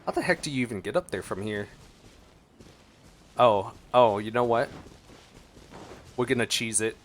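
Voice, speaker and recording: A man giving casual, expressive gameplay commentary, talking to himself playfully as he plays. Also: mid-range voice, moderate pace. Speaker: gaming streamer. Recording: game music behind voice. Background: video game music.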